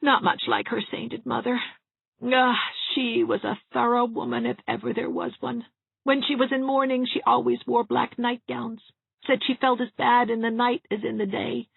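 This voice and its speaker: A mournful, fussy old spinster always fearing the worst, as a woman reads her in an audiobook. The voice sits high and creaky, with a husky edge. The sound is dry and thin, like a phone line. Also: little pitch movement, moderate pace, dry recording, exaggerated voice. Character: gloomy fretful elderly spinster woman.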